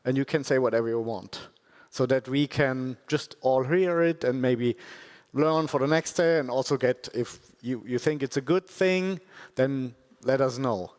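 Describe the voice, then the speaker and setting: A man addressing a conference audience from the stage as the organization's president, speaking in an unhurried, soft-spoken way with hesitant fillers. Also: mid-range voice. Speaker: foundation president. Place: large conference hall, on stage. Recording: long hall reverb, crowd behind.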